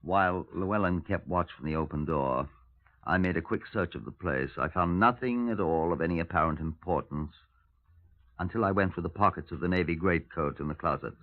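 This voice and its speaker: The sound is a narrow, tinny vintage radio recording. A man plays a detective with a lowish voice, courteous on the surface and dry underneath, speaking in clipped phrases. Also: brisk, natural voice. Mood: measured narration. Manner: wry, clipped, formally polite. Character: dry, courteous senior Scotland Yard detective. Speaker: detective and narrator. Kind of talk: 1950s radio drama acting. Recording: thin, band-limited 1950s radio recording.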